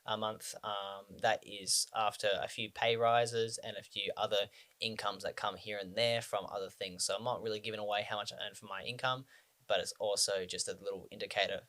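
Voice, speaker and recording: A man's voice: fairly low pitch, steady tone, a little fast, pushed and bright. A man in his twenties from Australia, talking casually to the camera about personal finance, rambling with frequent ums and restarts. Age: 20s.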